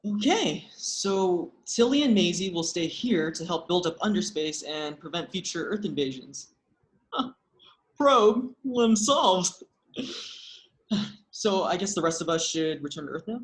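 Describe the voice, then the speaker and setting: A man's voice: high-pitched, expressive, a little slow. A man playing an awkward, upbeat human team leader at a comedy script table read. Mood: practical. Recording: roomy, echoey sound.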